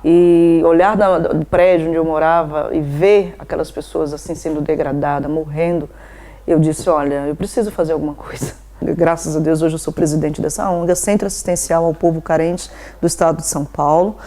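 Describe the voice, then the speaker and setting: A woman's voice: low-pitched, fairly even intonation, normal speed, warm tone. A woman from Bahia, an NGO president answering interview questions in a devout, earnest way and often adding "né?". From Bahia, Brazil. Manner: devout, earnest, frequent "né?" tags.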